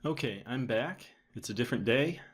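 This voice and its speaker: A man in his thirties giving a casual, matter-of-fact software tutorial, thinking aloud as he goes. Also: medium pitch, lively intonation, normal speed, breathy edge. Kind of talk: casual screen-recorded tutorial narration.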